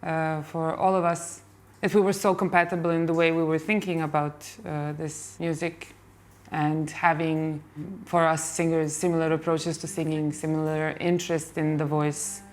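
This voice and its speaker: A woman from Bosnia speaking. She is a singer in a band, giving a slow, thoughtful documentary interview with some fillers. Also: low voice, little pitch movement.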